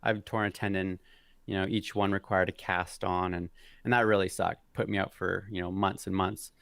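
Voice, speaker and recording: A man's voice: low voice, level tone, moderate pace, vocal fry, a bit bright, dry recording. A man in his thirties, a guest on a video call, talking hesitantly with many fillers and restarts. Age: 30s. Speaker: guest mountain biker. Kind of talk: casual interview chat.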